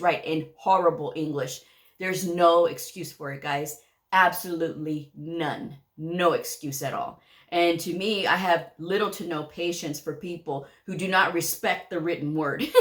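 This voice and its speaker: A woman hosting her own channel who gives casual career advice to viewers, talking directly and firmly, often checking in with "okay?" and laughing lightly. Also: fairly low pitch. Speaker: medical coding educator and channel host.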